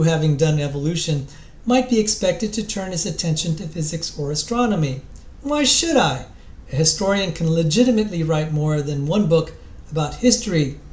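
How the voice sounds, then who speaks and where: A high-pitched male voice put on by a man declaims a book introduction in an echoey room. The delivery is theatrical and solemn, with grand dramatic pauses and the odd stumble over a repeated word. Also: natural voice. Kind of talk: mock-dramatic reading aloud.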